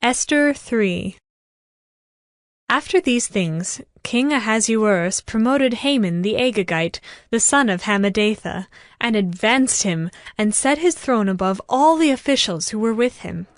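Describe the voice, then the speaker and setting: A woman narrating scripture from a script as a measured, formal storyteller in a dramatized audiobook. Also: fairly high pitch, expressive, no room sound, studio quality. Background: music.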